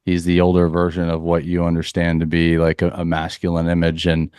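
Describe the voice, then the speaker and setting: A man from Central Florida, a leadership coach for athletes, talking calmly and earnestly in a reflective podcast interview. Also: low voice, a little fast, smooth, no room sound, clean studio sound. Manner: calm, earnest, spiritual phrasing.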